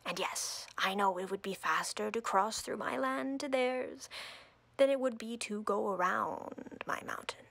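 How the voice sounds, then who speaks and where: A woman playing an imperious, coldly flirtatious drider queen in a roleplay audio, breathy and whispery, speaking haughtily and deliberately with playful menace. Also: medium pitch, fairly bright tone, no echo. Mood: imperious, reasoning.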